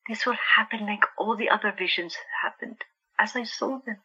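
A woman's voice: medium pitch, brisk, pushed and bright, husky, dull audio, exaggerated voice. A woman playing a stubborn young sister plagued by visions in an audio drama, speaking earnestly and insistently. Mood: desperate.

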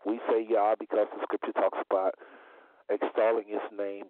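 A man hosting a religious call-in show in a deliberate, repetitive way, his voice slightly under the weather. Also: lowish voice, little pitch movement, no echo. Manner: deliberate, repeats phrases for emphasis. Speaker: talk show host. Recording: phone-like internet radio audio.

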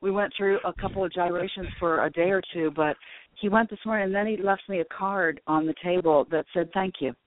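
A woman's voice: lowish voice, a little fast, no echo. Woman caller on a tinny phone line. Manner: chatty and grateful, laughs often.

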